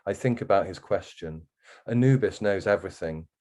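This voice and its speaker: A man reading a first-person story aloud as a guest author, in an expressive literary narration style. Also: low-pitched, normal speed.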